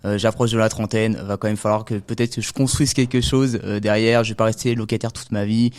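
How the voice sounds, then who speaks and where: A man's voice: lowish voice, fairly even intonation, fairly quick, darkish tone. A man hosting a casual interview, asking long, informal questions that reword what the guest said. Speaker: podcast host, interviewer.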